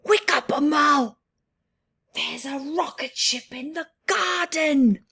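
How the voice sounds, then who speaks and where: A woman reading aloud, voicing an excited young boy who shouts in a high, raised voice. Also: slow, full character voice.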